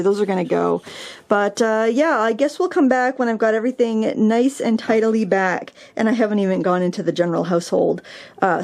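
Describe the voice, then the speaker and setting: A woman with a Maine accent talks her way through tidying her craft room at a middle pitch. She rambles and second-guesses herself with plenty of ums and likes. The sound comes from a camera held in her own hand indoors. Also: a little mellow. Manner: rambling, self-deprecating, many ums and likes. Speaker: craft vlogger. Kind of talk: casual chatty vlog while tidying. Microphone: handheld camera mic.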